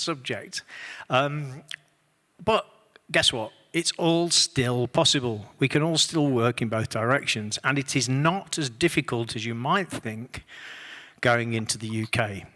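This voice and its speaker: A man's voice: medium pitch, a little slow, bright, forceful tone, long reverb tail. A man presenting a conference workshop on music work across borders, speaking with dry humor and frequent fillers. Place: conference room, online session.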